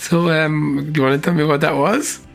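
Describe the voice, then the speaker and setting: A man's voice: on the high side, level tone, a little fast, vocal fry, faint music behind. A man co-hosting a podcast, chatting casually in a dry, teasing way and laughing often. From Ireland. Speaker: podcast co-host. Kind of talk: casual chat.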